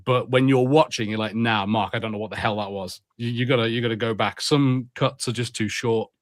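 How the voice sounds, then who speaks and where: A man co-hosting a casual podcast, talking in long animated rambles at a medium pitch. His voice is recorded dry and close, with no room sound. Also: fairly quick, no room sound. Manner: rambling, animated, laughs easily. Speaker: podcast co-host.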